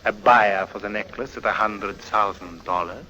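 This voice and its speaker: An actor playing an oily, deferential German swindler in a 1950s radio drama, wheedling in inverted foreign phrasing with a German accent. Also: male voice, lowish voice, normal speed, pushed and bright, low-quality recording.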